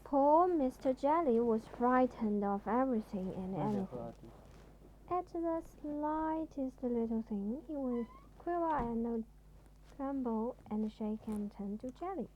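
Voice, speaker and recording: Slow, high-voiced woman reading a bedtime story. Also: animated, darkish tone, highs cut off, a little hiss or hum. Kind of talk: children's storybook narration.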